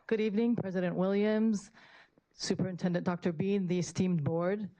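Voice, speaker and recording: A woman's voice: mid-range voice, steady tone, normal speed, warm tone, poor audio. Earnest woman presenting formally. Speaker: charter school principal presenting to a board. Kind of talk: prepared public presentation. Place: public board meeting room, at a podium.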